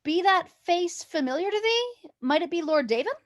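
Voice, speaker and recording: A woman's voice: extremely high pitch, expressive, moderate pace. A woman playing a bossy, confident teenage girl in a mock-Shakespearean staged reading, with haughty, theatrical archaic speech. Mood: breezy.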